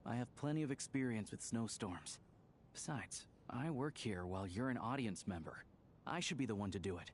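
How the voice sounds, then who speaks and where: A man's voice: lowish voice, moderate pace, natural voice. A man voicing a cautious, level-headed character who takes charge, in a video game. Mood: resolute. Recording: steady background noise.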